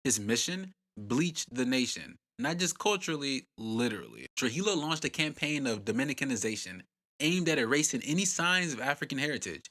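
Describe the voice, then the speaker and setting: A man narrates a scripted history documentary with a bright, ringing tone. His delivery is punchy and dramatic, full of rhetorical questions and short emphatic lines. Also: mid-range voice, big pitch swings, fairly quick. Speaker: host and narrator.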